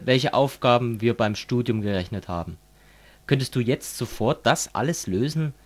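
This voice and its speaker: A man's voice: medium pitch, lightly acted. A man playing a fervent communist who lectures a friend in a didactic, declamatory way, as in an amateur radio play. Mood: lecturing.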